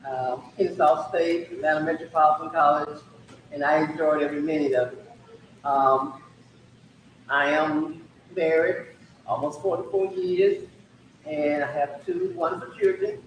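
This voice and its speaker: A woman's voice: low-pitched, expressive, a little slow, a little hiss or hum. Reflective older woman from Mississippi, echoey. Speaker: interview guest, retired teacher. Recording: echoey room, mic at a distance.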